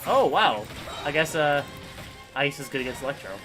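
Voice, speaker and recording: A man's voice: fairly high pitch, lively intonation, normal speed, over music. A young man streaming a video game live, commenting in a wry, offhand, joking way.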